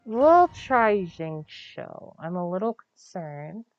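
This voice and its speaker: Low-pitched woman live streamer, unhurried. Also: sing-song, dry recording, highs cut off. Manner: thinking aloud, hesitant and self-correcting. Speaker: game livestreamer, host. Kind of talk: casual livestream gameplay chat. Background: video game music.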